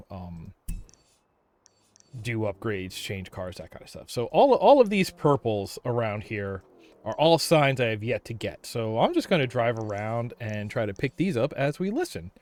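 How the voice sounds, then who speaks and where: A man chatting casually on a gaming livestream, thinking aloud in a halting way. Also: lowish voice, animated, a little mellow. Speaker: game livestreamer.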